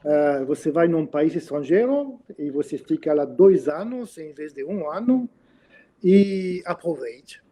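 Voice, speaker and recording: French-accented man, dark mellow voice. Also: on the high side, expressive, unhurried. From France. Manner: hesitant, searching for words. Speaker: interviewed mathematician. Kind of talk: casual interview.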